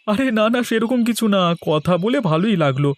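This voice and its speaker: A man's voice: high-pitched, expressive, brisk, dry recording. A man playing a polite, friendly village shopkeeper in a cartoon. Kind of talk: Bengali cartoon dialogue. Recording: light music behind the voice.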